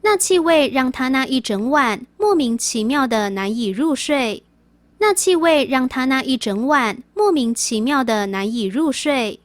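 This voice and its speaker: A woman reads scripted sentences aloud for a quiz. Her voice is on the high side, and the recording is close and dry with no room sound. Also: animated, a little slow, dry recording. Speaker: question reader.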